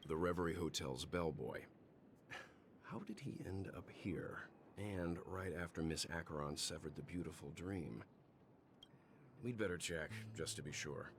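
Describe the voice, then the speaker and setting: A man voicing a calm, thoughtful middle-aged gentleman investigator in a video game, speaking in a measured, formal way. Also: low voice, slight background noise. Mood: composed. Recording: music behind the voice. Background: game music.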